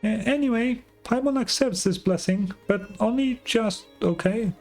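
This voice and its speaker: A woman voicing a tiny, excitable, childish fairy companion in a video game, squeaky and cheeky. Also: measured pace, faint music behind. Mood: pouty. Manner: talks of herself in third person, pouty.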